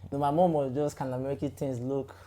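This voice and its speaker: Guest role: young Nigerian man, mellow tone, loose chatty telling with lots of "you know". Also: mid-range voice, flat delivery, quick pace. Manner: chatty, many "you know" fillers, laughs often. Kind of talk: casual storytelling interview.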